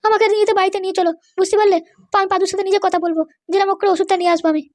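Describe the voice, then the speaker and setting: An anxious older cartoon parent played by a woman pleads in an extremely high, squeaky voice. The words rush out insistently on a flat, unvarying note, plaintive and always pressing for agreement. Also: very fast. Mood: urgent, insistent. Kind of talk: amateur cartoon dialogue.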